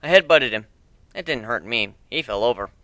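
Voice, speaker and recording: A man's voice: mid-range voice, lively intonation, fairly quick, fairly bright tone, no echo, clean studio sound, lightly acted. A man reading a dramatic first-person story as a sarcastic, bitter bullied teenage nerd, with emphatic delivery. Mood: cocky. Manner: sardonic, emphatic.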